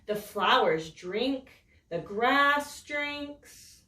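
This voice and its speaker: A woman reading a picture book aloud to children in a slow, expressive, sing-song storytelling voice. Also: high voice, recorded from a distance. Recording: roomy echo, voice set back from the mic.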